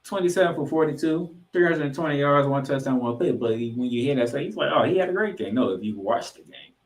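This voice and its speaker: Animated, emphatic male sports podcast host. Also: medium pitch, poor audio. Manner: emphatic, dramatic pauses and repetition for effect. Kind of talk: football recap commentary.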